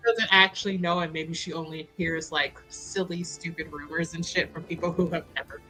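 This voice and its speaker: A woman's voice: deep voice, fairly even intonation, moderate pace, sharp tone, soft background music, low-quality recording. A woman playing a tabletop roleplaying game, chatting casually and thinking aloud in a slangy, wry way.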